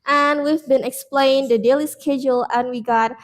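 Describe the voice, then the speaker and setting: A woman's voice: high voice, steady tone, normal speed. A young woman with an Indonesian accent, answering interview questions about her teaching exchange with frequent fillers and laughs.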